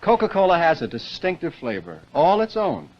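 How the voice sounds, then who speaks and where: Dull old radio recording, male announcer, animated high voice, scripted commercial read. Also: moderate pace. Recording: band-limited vintage radio recording.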